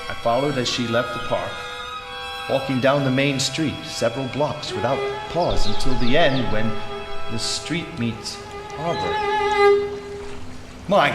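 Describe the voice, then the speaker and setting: An actor playing an earnest, haunted gentleman sculptor in a theatrical period audio drama, intense in delivery. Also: mid-range voice, close to an everyday voice. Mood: haunted, hushed. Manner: intense, halting recollection breaking into frantic cries. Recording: echoey live theatre stage with music.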